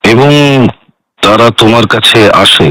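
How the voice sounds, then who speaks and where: An actor playing an inquisitive, concerned adult son in a radio drama, speaking in a familiar, questioning way. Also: male voice, on the low side, a little slow, bright, forceful tone, dry recording, poor audio. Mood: curious, concerned.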